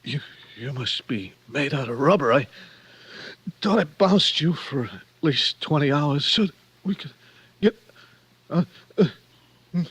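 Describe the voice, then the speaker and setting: An actor playing a taunting, mortally wounded thug, gasping and breathy, in a 1940s radio drama. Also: male voice, a little high, animated, a little slow, pauses often, a bit bright, dry recording, full character voice. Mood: weak, taunting. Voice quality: very husky, breathy. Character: dying male thug, taunting to the last. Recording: dry vintage 1940s radio recording.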